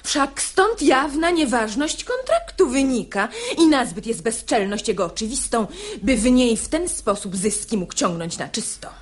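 A woman's voice: on the high side, sing-song, moderate pace, a little hiss or hum. An actress playing a resolute, indignant woman of the household in a verse radio play. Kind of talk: verse stage comedy performed as radio drama.

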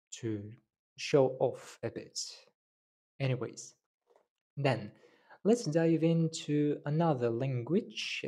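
A man's voice: medium pitch, dark tone. A young man whose first language is Tatar, talking casually to camera as a language teacher, thinking aloud with fillers, into a handheld clip mic indoors. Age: young adult. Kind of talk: casual video talk. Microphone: handheld lapel mic held near mouth.

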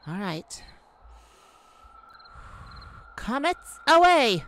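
A woman voicing a wounded fallen-star alien in a roleplay audio drama, proud, wry and bewildered by humans, speaking haltingly in slightly formal wording. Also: high-pitched, big pitch swings, fairly bright tone, pitched above the performer's own voice, full character voice. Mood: indignant.

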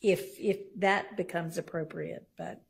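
A woman's voice: fairly low pitch, fairly even intonation, measured pace, gravelly. A woman judge speaking from the bench in a courtroom, plain-spoken and matter-of-fact in an informal way. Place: large courtroom.

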